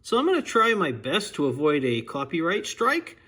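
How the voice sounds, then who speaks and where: An American man giving a casual, unscripted product demonstration in a measured, explanatory way. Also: a little high, huge pitch swings, bright, forceful tone. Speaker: vintage radio collector presenting a video review.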